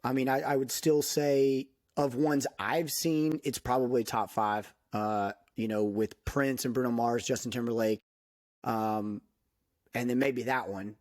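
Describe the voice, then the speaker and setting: A man in casual podcast talk, lively and laughing, with frequent "dude" and "like". His voice sits at a middling pitch. The sound is dry and close. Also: measured pace, no echo. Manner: animated, laughs often, many fillers like "dude". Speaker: podcast guest. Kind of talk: casual chat.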